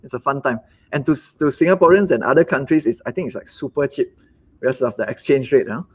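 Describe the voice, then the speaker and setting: Husky Malaysian-accented businessman. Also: mid-range voice, animated. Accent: Malaysian English. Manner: halting, repeats words, acts out quotes. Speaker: business owner guest. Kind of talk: live webinar conversation.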